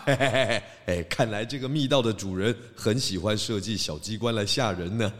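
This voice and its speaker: A man's voice: on the low side, a little fast, fairly bright tone, no room sound, studio quality, lightly acted. A man voicing a confident, clever pig detective with a jovial, explanatory manner in a children's audio drama. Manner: self-assured, jovial, explanatory.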